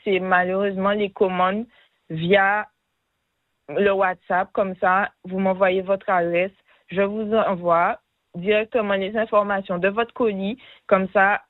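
A woman's voice: mid-range voice, no room sound, phone-quality sound. A woman, answering informally as an interview guest. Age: 20s. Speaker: interview guest, small business owner and designer.

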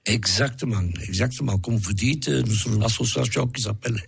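A man's voice: medium pitch, normal speed, creaky, slightly rough, no room sound. A man with a cold, a radio interview guest who speaks hesitantly with many restarts. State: has a cold. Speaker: association president, food truck owner.

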